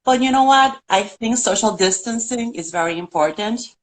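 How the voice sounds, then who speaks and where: A woman's voice: fairly high pitch, lively intonation, moderate pace. Chatty Puerto Rican woman on a call. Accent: Puerto Rican Spanish. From Puerto Rico. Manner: earnest, chatty, laughs often. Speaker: caller on a live stream. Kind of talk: casual video call chat.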